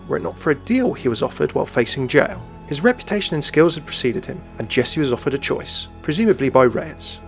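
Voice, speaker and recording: A man's voice: mid-range voice, gravelly. A man reading scripted narration for a video essay.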